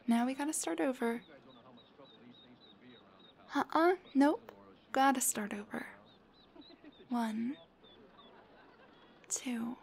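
A woman playing a playful, clingy, affectionate young girlfriend in an intimate ASMR roleplay, soft and breathy, often near a whisper, with a cutesy, pleading way of talking. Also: high-pitched, full character voice.

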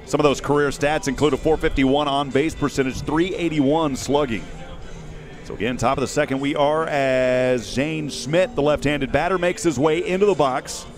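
Guy in his 30s doing live baseball radio call, short measured phrases, outside on a headset, bit of music in background. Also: on the high side. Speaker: radio play-by-play baseball announcer. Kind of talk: live sports commentary. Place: outdoors at a windy ballpark. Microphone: headset boom mic.

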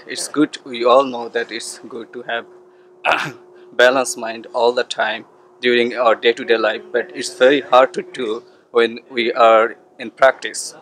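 A man, speaking in a measured way outdoors on a path between buildings. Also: mid-range voice, fairly bright tone, slight background noise, poor audio. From Bangladesh. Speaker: retreat participant. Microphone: camera at close range.

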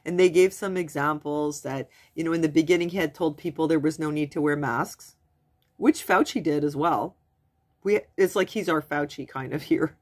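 A woman's voice: sits low, normal speed. A woman in her forties with a stuffy nose from a cold, chatting casually into her webcam at home as she reads and translates news articles. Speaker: vlogger. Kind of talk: casual commentary reading news aloud. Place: small room at home, indoors. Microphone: webcam at desk.

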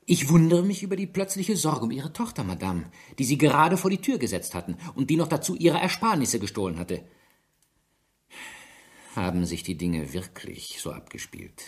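A man plays a sharp, persistent police inspector in a radio drama. His pitch is mid-range but moves in a very lively way as he probes, sums up and presses methodically for answers. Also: normal speed, natural voice. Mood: methodical, sceptical. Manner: probing, pressing, methodical questioning.

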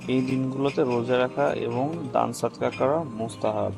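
Man playing a devout cartoon student, young male, agreeable, earnest, medium pitch, clear music bed. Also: fairly even intonation, normal speed, lightly acted. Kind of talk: scripted cartoon dialogue.

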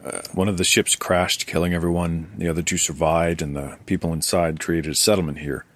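A man's voice: deep voice, level tone, brisk, fairly bright tone. An actor playing a wary, questioning man who keeps careful records, in an audio drama. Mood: guarded. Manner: questioning, guarded.

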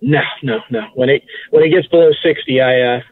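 A man's voice: mid-range voice, fairly quick, breathy edge. A man from Massachusetts, a guest telling stories in a casual interview, hesitant, with many restarts. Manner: hesitant, lots of fillers and restarts. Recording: tinny phone line.

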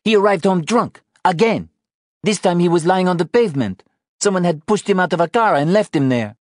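A man's voice: sits high, expressive, fairly quick, darkish tone, dry recording, clean studio sound, voice pushed up. A man voicing a tired, angry mother who accuses and scolds, in audiobook dialogue. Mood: bitter, accusing. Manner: accusatory, exasperated. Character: exhausted, angry mother scolding her wayward teenage son.

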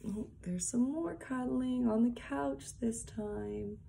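A young woman reading a picture book aloud for small children, deliberately, with casual asides. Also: on the high side, vocal fry, mellow, slight background noise. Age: young adult. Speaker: early years worker reading a picture book. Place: small plain indoor room, seated at a desk. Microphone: phone or device propped at arm's length.

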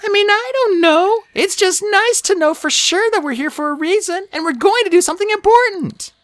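Young female dragon, storybook character, very high-pitched, cheerful, dreamy, eager, animated. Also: moderate pace, dry recording. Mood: dreamy, earnest. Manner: earnest, eager, bubbly.

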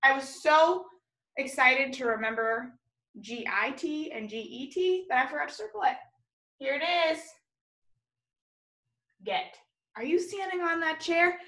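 A young woman teaching small children in a video lesson, animated and encouraging, spelling out and enunciating words as she invites them to repeat. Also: high voice, takes it slowly, pauses often. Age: young adult. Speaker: elementary school teacher. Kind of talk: early-grade video lesson to children, call and response. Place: room in a home, indoors.

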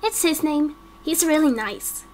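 A woman's voice: sits high, expressive, measured pace, a little hiss or hum, pitched above the performer's own voice. A woman voicing a small, innocent, worried child in an amateur game roleplay.

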